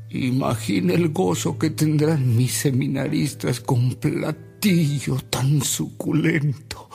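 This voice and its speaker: A man's voice: medium pitch, creaky, darkish tone, breathy edge, exaggerated voice. An actor with a Mexican accent playing a fond, amused storyteller who savors his memories in a radio drama. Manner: unhurried, savoring anecdotes, chuckling delivery. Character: Don Alberto, fond, amused old storyteller reminiscing about a bishop.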